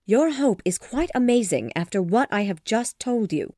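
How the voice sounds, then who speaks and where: A woman's voice: on the high side, expressive, moderate pace, dark tone, no room sound, studio quality, pitched above the performer's own voice. A woman doing a spirited, firm young woman. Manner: firm, earnest, exasperated. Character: spirited young woman firmly refusing a proposal. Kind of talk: storybook character voice.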